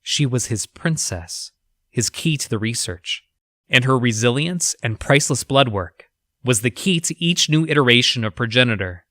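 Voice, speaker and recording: Man playing a sharp-voiced, haunted research scientist. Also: mid-range voice, lively intonation, no room sound, clean studio sound. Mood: grim, uneasy. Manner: formal, clinical, measured. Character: educated, haunted, loyal male research scientist keeping a journal. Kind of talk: dramatic journal narration in an audio drama.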